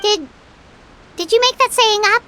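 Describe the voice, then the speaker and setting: A woman voicing a small, excitable, cheeky fairy girl companion in a video game, with a squeaky childlike voice. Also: measured pace, slight background noise, heavily acted. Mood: teasing, impressed. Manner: refers to self in third person, exclamatory. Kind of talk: video game character acting. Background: music.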